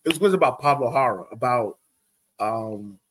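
A man's voice: medium pitch, lively intonation, moderate pace, a bit bright, rough recording. A man co-hosting a sports podcast, talking casually and emphatically, pressing his point by repeating it. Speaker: podcast host.

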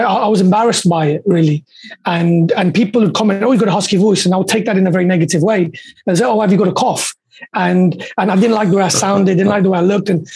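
A man with a husky voice, a podcast guest telling his story in a quick, earnest way and often repeating words. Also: sits high, a little mellow. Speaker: podcast guest, dentist and coach. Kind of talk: candid storytelling.

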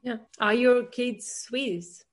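A woman's voice: on the high side, takes it slowly. A woman from Russia, speaking as an interviewer in a casual conversation.

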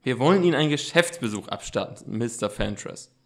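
A man's voice: medium pitch, animated, brisk, a bit bright. A man playing a self-assured teenage boy detective in an amateur audio drama, speaking in a formal, wordy, explanatory way.

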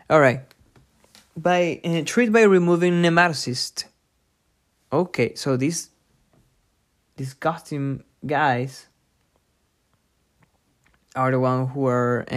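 A podcast host giving an informal lesson from a book, thinking aloud haltingly and repeating difficult words. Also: medium pitch. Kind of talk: informal lesson read from a book with personal asides.

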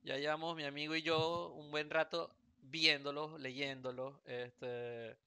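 Venezuelan man, 30s, podcast host; raspy-bright, clean and dry sound, rambling humor. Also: fairly high pitch, little pitch movement, slow pace, no room sound. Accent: Venezuelan Spanish. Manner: joking, rambling with many fillers and restarts. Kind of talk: casual podcast chat. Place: Houston, United States.

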